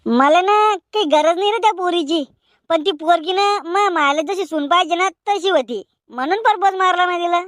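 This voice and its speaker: A woman's voice: very high voice, moderate pace, fairly bright tone, no room sound, studio quality. A woman voicing a bantering young village man in a cartoon comedy, with a rural Maharashtrian Marathi accent. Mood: argumentative. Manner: colloquial, bantering.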